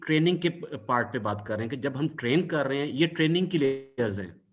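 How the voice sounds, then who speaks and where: A male student speaks at a medium pitch. He puts questions to his teacher in an online class, working through his thoughts aloud with halting, reworded phrases. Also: expressive. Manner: halting, thinking aloud, rephrasing.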